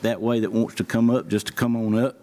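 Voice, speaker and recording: Southern emergency services official, low mellow voice, plain-spoken, folksy podium remarks. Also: male voice, fairly even intonation, brisk. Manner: plain-spoken, many fillers. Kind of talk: public remarks at a meeting. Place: county board meeting room.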